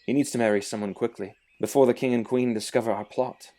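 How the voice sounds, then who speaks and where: Guy acting loyal young knight in an audio drama, level-headed, mellow soft-edged voice, middle pitch. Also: fairly even intonation, quick pace, natural voice. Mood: serious, explaining.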